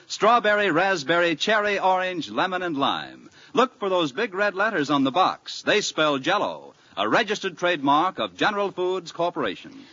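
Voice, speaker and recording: A man's voice: fairly high pitch, fairly bright tone, husky, dry recording. A man working as a radio announcer, reading commercials and narration in a vintage mid-century style. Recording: muffled old radio broadcast.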